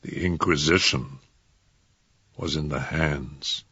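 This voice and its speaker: An actor playing a terrified, desperate prisoner of the Inquisition, narrating a gothic horror tale theatrically and haltingly. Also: male voice, extremely low pitch, fairly even intonation, sharp tone, husky, dry recording, heavily acted. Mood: exhausted relief. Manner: theatrical, halting delivery with anguished outbursts. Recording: dull, noisy lo-fi recording.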